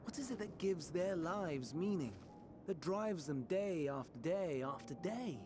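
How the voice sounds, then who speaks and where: An actor playing a melancholy, idealistic scholar in a video game, speaking in measured, formal, archaic phrases. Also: male voice, sits high, lively intonation, over music. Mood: earnest, wistful. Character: soft-spoken melancholy male scholar, idealistic, quietly tormented. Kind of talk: dramatic video game voice acting.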